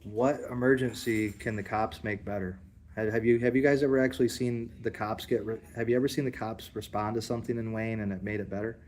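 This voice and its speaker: A man's voice: steady tone, brisk, a little mellow. A man, livestreaming casual commentary in a public building, rambling and talking straight to his viewers. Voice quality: deep. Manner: rambling, defiant, addresses viewers directly.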